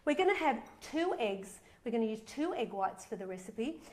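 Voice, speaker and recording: A bubbly, middle-aged woman hosts a live cooking show from her home kitchen. Her voice sits a little high and sounds slightly nasal from a head cold. She chats with enthusiasm as she walks viewers through each step. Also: sing-song, moderate pace, a little mellow. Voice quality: a bit nasal. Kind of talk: live cooking demonstration, chatty.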